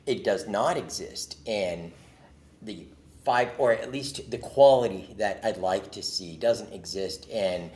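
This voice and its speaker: A man from Jordan, a podcast guest, telling an earnest, expressive story in casual conversation. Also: on the low side, slow pace.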